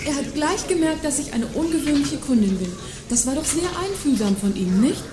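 An actress playing a prim, insecure and gullible shop customer in an audio drama, with a formal, earnest manner. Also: female voice, sits high, animated, a little fast, noisy background. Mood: insistently nice. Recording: echoey room with music behind.

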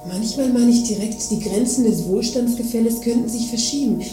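A woman acts an anxious, affluent lady in a satirical radio play. Her voice is fairly high yet soft and mellow in tone. It sounds roomy and echoey. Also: brisk, lightly acted. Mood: worried. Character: anxious, well-off woman fretting over her prosperity. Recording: echoey room, faint music behind.

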